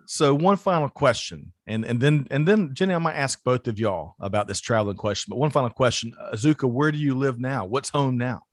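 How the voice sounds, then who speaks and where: Upbeat chatty host, dry close sound. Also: male voice, medium pitch, fairly quick, few pauses, no echo. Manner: upbeat, chatty, with frequent restarts. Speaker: podcast host. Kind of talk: casual interview chat.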